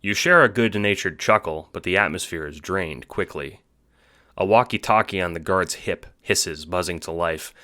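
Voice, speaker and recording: A man giving a scripted, dramatic narration for an audio drama, with deliberate phrasing. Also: deep voice, pushed and bright.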